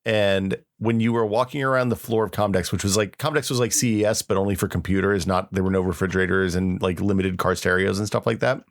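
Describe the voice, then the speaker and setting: Middle-aged American man, lowish pitch, dry sound, informal podcast chat with slangy phrasing. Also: fairly even intonation, quick pace, hardly any pauses, no echo. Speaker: podcast co-host. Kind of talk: casual tech nostalgia chat.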